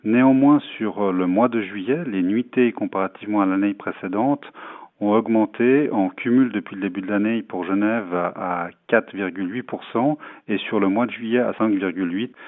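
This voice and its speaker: Male interviewee, low voice, measured and halting official answers, thin phone-like radio sound. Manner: measured, halting delivery with many hesitations. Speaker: tourism foundation president, interviewee. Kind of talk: interview answers, formal spokesman talk.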